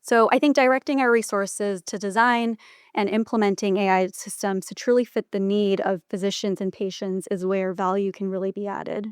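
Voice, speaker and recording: A woman's voice: mid-range voice, steady tone, moderate pace, clear, resonant tone, no room sound, studio quality. A woman speaking as an interview guest, a physician giving articulate, organized explanations point by point. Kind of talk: interview answers, measured explanatory talk.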